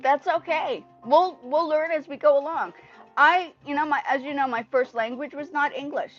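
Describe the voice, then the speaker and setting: A woman from Indonesia, a native Indonesian speaker, hosting a casual livestream in a rambling, animated way, often repeating her phrases. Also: high voice, moderate pace, soft background music. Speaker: livestream host. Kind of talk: casual livestream chat with viewers. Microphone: earpiece mic on a phone. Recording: dull lo-fi livestream audio through earpiece mic.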